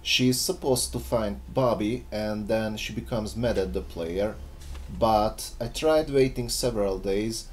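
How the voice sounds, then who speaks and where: A man's voice: on the low side, a little mellow, slight background noise, low-quality recording. A man giving scripted video narration, presenting a game mod as its maker.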